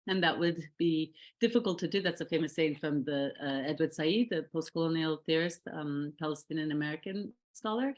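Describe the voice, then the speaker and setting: Middle-aged woman scholar, lowish voice, thoughtful and searching, full of "um" and restarts. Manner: thoughtful, many fillers and restarts. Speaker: researcher. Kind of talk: reflective interview talk about her academic path.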